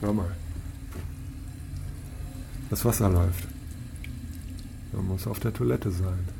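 An actor playing an assertive police detective in a German radio crime drama, questioning people in a probing, commanding way. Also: male voice, low-pitched, steady tone, slow, creaky, noisy background, heavily acted. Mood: probing, alert.

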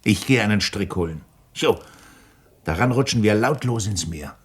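A man playing a strong, placid, plain-spoken sailor in a German radio play, with a husky voice and simple, hesitant phrasing. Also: lowish voice, expressive, moderate pace. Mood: placid, thoughtful.

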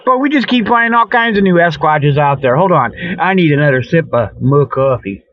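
A man's voice: fairly high pitch, lively intonation, fairly quick, dry recording, dull audio. A man hosting a jokey talk show, rambling casually in a folksy, colloquial way. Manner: jokey, chuckling at own tall tales. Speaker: host of a comedic paranormal talk show. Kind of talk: rambling casual solo monologue.

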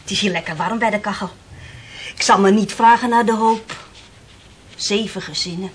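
A woman's voice: mid-range voice, moderate pace, a little hiss or hum, lightly acted. Actress as a brash, chatty working woman. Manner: bantering, plain-spoken, exclaiming. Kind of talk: Dutch radio play acting.

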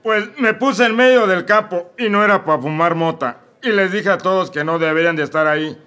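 A man's voice: high-pitched, a little fast, bright, forceful tone. A man with a Mexico City accent playing a crude, drunken, pious shepherd who rants in slang. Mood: ranting. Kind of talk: comedic radio play acting.

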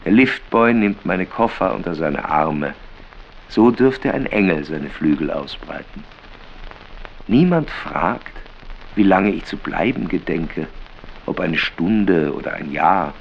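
An actor playing a fondly ironic itinerant writer in a literary radio play, telling things in measured, ornate phrases. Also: male voice, low-pitched, lively intonation, creaky, full character voice. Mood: wry, fond. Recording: dull, noisy, rain behind.

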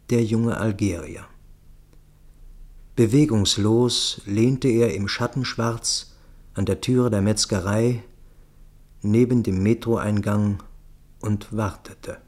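A man reading scripted literary narration for a radio play in a measured, precise way. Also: fairly low pitch, a little mellow.

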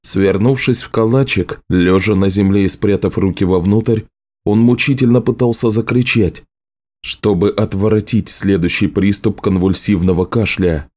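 Male narrator for literary fiction: low, soft-edged, dark tone, measured and serious. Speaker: audiobook narrator.

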